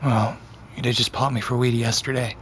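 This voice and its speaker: Guarded, deadpan young man acted by a man. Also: medium pitch, a little fast, a little hiss or hum, natural voice. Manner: deadpan, terse. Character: guarded, sardonic young man, wounded and evasive. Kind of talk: naturalistic audio drama acting.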